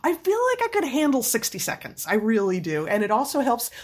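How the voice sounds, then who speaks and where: A woman with a slightly scratchy voice, telling personal stories in a casual, animated, laughing way. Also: on the high side, brisk, slightly rough. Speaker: storyteller in a chat. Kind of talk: casual anecdotal chat.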